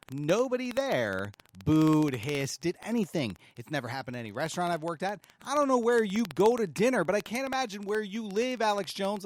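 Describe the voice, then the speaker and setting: A man's voice: high-pitched, a little hiss or hum. Middle-aged host guy, sarcastic and fed up, stumbly, bouncy voice. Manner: sarcastic, exasperated, halting. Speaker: podcast host, commentator. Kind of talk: commentary on played clips.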